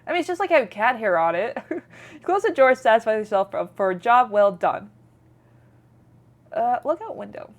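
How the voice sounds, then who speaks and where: A woman's voice: fairly high pitch, big pitch swings, moderate pace, long pauses. A woman streamer reading game narration aloud with playful, chatty casual commentary.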